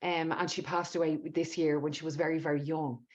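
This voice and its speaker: A woman's voice: sits low, level tone, a little fast, darkish tone. A woman hosting a podcast interview in an emphatic, conversational way, often repeating words for stress. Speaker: podcast host. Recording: dull lo-fi audio.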